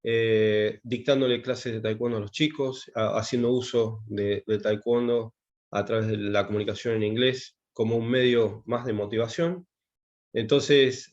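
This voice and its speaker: A man's voice: medium pitch, darkish tone, highs cut off. A man, a taekwondo instructor giving an online class, hesitant and full of fillers and restarts. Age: 50s. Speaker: taekwondo instructor teaching English.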